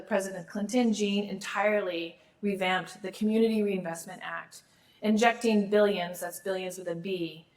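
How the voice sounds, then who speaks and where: A woman's voice: mid-range voice, poor audio. A middle-aged woman, a law school dean, gives a formal awards speech while losing her voice, with small restarts and asides.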